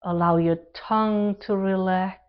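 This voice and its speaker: A woman leading a guided relaxation meditation as an instructor, speaking calm, gentle instructions in short phrases with pauses between them. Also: mid-range voice, clean tone, dull audio.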